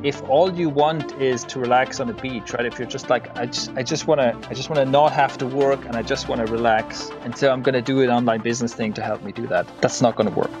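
A man from Switzerland with a mild Irish-tinged accent, talking candidly as an interview guest in a relaxed, conversational way. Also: medium pitch, fast talker, hardly any pauses, highs cut off, music in the background. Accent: mild Irish-tinged English. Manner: relaxed, candid, with frequent restarts. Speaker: guest entrepreneur.

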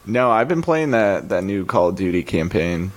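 A man with a fairly low voice that sounds hoarse, as if he were always sick. He teases his co-hosts in a deadpan way in casual podcast talk. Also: normal speed. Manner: deadpan, teasing. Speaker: podcast co-host. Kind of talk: casual chat.

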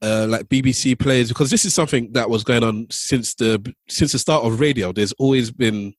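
A man's voice: medium pitch, normal speed, no room sound. A man hosting a podcast, asking questions in a casual conversational style. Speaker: podcast host. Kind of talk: casual interviewing.

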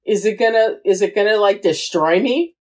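A woman whose first language is Portuguese, co-hosting a casual podcast and reacting mostly with short, relaxed agreements. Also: medium pitch, moderate pace. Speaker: podcast co-host. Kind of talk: casual recap chat.

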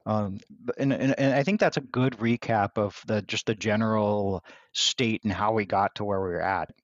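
Low voiced guy hosting a podcast, says like a ton, kinda muffled and dead dry sound. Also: moderate pace, no echo. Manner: loose, filler-heavy, says like a lot. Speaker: podcast co-host. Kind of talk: casual podcast talk.

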